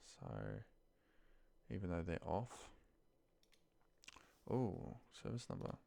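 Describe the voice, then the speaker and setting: A man thinking aloud in a muttered, pausing way as he talks through spreadsheet work on his computer. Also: deep voice, big pitch swings, vocal fry, warm tone. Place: at a computer desk, indoors.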